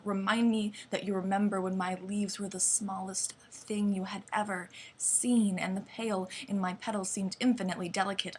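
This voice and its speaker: A young woman reciting slam poetry in a deliberate, dramatic way with weighted pauses. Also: medium pitch. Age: young adult. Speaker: slam poet. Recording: clearly noisy amateur recording.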